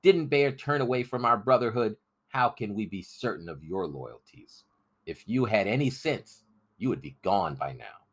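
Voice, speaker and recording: A man voicing a stern, suspicious rebel leader with a deliberate, accusing manner, in a storybook reading. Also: lowish voice, animated, pitched above the performer's own voice.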